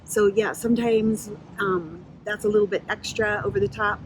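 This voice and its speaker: A woman's voice: medium pitch, steady tone, moderate pace, slight background noise, poor audio. A woman speaking as a missionary in a casual interview, explaining things in a plain, conversational way. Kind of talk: casual promo video interview. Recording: faint background ambience.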